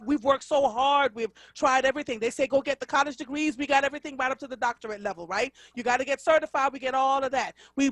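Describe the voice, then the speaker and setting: A woman in her fifties from Jamaica hosting a live radio talk show, speaking in an expressive, emphatic way. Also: sits high, dry recording. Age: 50s. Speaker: radio host.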